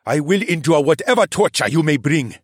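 A man's voice: a little high, lively intonation, fairly quick, no echo, clean studio sound, voice pushed up. A man voicing a proud, defiant male cheetah demi-human captive who snarls and sneers.

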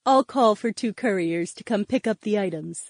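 A male narrator plays a courteous young woman in an audiobook. The voice is fairly high, gentle and measured, recorded close and dry. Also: no echo. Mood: polite, gentle. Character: polite, soft-spoken young brunette woman. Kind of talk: audiobook character dialogue.

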